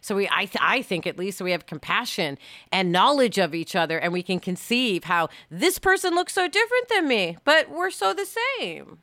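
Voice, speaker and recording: Bright, crisp-voiced woman chatting candidly. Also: medium pitch, moderate pace, no room sound, clean studio sound. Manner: animated, candid, acts out remembered remarks. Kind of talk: casual conversational discussion.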